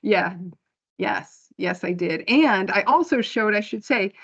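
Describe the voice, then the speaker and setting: A woman's voice: mid-range voice, animated, slow pace, creaky, a bit bright, breathy edge, highs cut off. A woman program director giving a practical, slide-by-slide financial report at a board meeting. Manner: plain, practical, walks through figures slide by slide.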